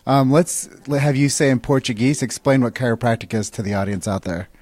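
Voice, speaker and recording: Male interviewer, steady mid voice, brief and guiding, dry close recording. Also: medium pitch, brisk, no echo. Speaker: podcast host, interviewer. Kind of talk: live interview questions.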